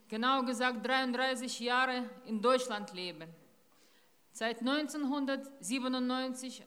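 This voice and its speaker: A middle-aged woman, from Ukraine, giving a grave, deliberate public speech at a rally in a town centre. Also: a little high, a bit bright, in a large hall. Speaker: rally speaker.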